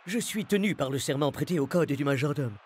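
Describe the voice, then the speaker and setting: A man's voice: fairly high pitch, lively intonation, brisk, a little mellow, a little hiss or hum, lightly acted. A man voicing a loyal, dignified butler in a cartoon, with precise and stiffly polite speech. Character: formal, loyal, dignified male hotel butler.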